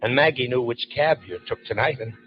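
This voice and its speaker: A man playing a brash young police lieutenant in 1940s radio drama, talking fast in easy slang. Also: medium pitch, lightly acted. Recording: dull lo-fi 1940s broadcast with faint hiss.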